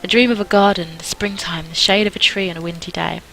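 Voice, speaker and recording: A woman's voice: lowish voice, fairly even intonation, fast talker, gravelly, bright, forceful tone, slight background noise, poor audio. A young adult woman reading a visual novel aloud, with casual asides as host of a gaming video.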